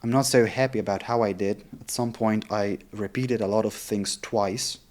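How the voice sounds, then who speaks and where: A man's voice: on the low side, fairly even intonation, moderate pace, darkish tone. Casual man vlogger, non-native English speaker. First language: Italian or German. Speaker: vlogger practicing sales cold calls. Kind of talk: casual vlog talk.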